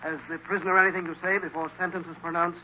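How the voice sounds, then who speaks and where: High, sharp, stern army general. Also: male voice, little pitch movement, very fast. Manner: clipped, authoritative. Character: stern, commanding army general presiding at court-martial. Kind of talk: 1930s radio drama acting. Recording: dull, hissy old radio recording.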